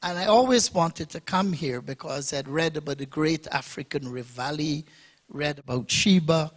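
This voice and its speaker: Guyana-born male panelist, slightly high voice, expansive speechmaker. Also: normal speed. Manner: expansive, speechmaking, builds to emphatic points. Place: conference hall.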